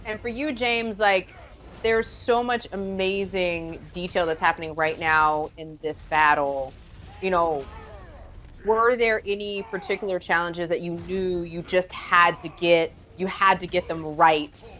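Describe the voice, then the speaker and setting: A woman's voice: lowish voice, steady tone, measured pace, a bit bright. A woman hosting a live game demo interview, conversational and enthusiastic. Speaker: interviewer, host.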